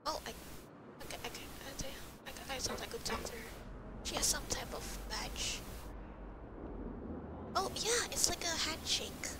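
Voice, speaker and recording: A woman's voice: high-pitched, expressive, a little slow, long pauses, very noisy. A woman giving casual, reactive gameplay commentary, rambling and often repeating her words. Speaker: game streamer. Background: loud game music.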